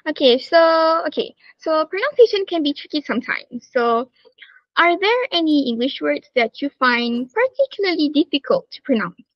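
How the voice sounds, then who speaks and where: A woman's voice: high voice, big pitch swings, moderate pace, no room sound, highs cut off. A woman interviewing a student, reading prepared questions with many "all right" and "okay" transitions.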